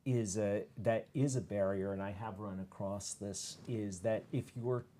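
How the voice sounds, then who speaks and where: Fairly low-pitched man, halting panellist. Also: fairly even intonation, warm tone, slight background noise. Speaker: panel speaker. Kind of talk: conversational expert discussion.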